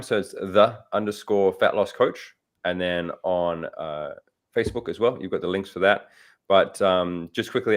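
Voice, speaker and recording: A man from Africa, a fitness coach chatting on a radio show over a video call, brisk and encouraging. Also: deep voice. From South Africa. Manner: brisk, encouraging, says "hundred percent" often. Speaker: fitness and nutrition coach guest.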